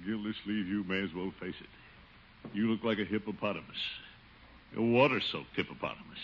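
An actor playing a pompous, easily wounded middle-aged uncle, blustering and muttering theatrically in 1950s radio comedy style. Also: male voice, fairly low pitch, close to an everyday voice. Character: pompous, wounded, self-pitying chubby middle-aged uncle, town official. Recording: muffled, noisy old radio broadcast.

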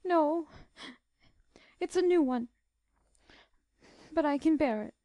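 Woman voicing a high, tearful, timid girl. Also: expressive, dark tone, dry recording. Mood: tearful, despairing. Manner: halting, pleading. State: tearful, sobbing. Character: gentle, suffering young girl, timid and self-effacing. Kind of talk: audiobook character dialogue.

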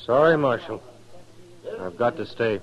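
On a dull vintage radio recording, a gentleman gambler played by a man speaks in a medium-pitched voice. He is formal and polite, with a proud, cool defiance typical of radio western acting. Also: expressive, unhurried, lightly acted. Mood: resolute. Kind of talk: 1950s radio drama acting.

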